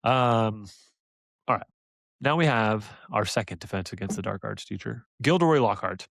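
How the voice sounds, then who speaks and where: Low-ish guy cohosting a fan podcast, excited, pitching ideas, dry close sound. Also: moderate pace, vocal fry, dry recording. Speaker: podcast co-host. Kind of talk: casual fan chat.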